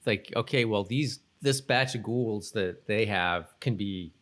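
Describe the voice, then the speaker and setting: A man co-hosting a podcast, casually recapping a TV episode in a rambling, halting way and often repeating himself. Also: lowish voice, fairly bright tone. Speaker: podcast co-host.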